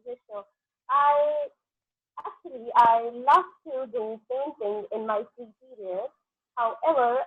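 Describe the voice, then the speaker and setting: Indian woman, 30s, Punjabi native, candidate answering haltingly; husky voice, tinny phone audio. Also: a little high, dry recording. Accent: Indian English. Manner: hesitant, many fillers and restarts. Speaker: exam candidate, dentist. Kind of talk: mock exam answers.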